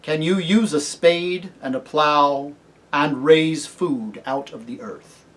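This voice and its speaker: A great storybook lion, as a male reader plays him speaks slowly and with royal gravity. The phrasing is formal and commanding, each clause measured out at a raised, projected pitch. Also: a bit bright. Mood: grave, questioning. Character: solemn, kingly great talking lion. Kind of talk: storybook character reading.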